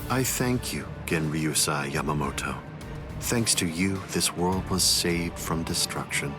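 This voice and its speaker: A calm menacing swordsman villain for an anime game, deepish, formal and smug, loud music under it. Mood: mocking, superior. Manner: measured, condescending, formal. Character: calm, menacing male villain swordsman, coldly superior schemer. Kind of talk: anime video game voice acting.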